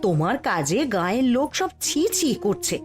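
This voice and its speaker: A woman's voice: medium pitch, sing-song, normal speed, music in the background, close to an everyday voice. A woman playing a stern wife in a cartoon story, nagging and reproachful as she lectures her husband. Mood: scolding, warning. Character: stern, scolding wife of a proud shopkeeper.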